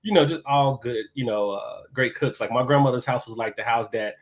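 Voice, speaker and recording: A man, a guest chef talking about himself in a relaxed, chatty podcast interview. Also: medium pitch, fairly quick. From Chicago. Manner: laid-back, talkative, easy laughs.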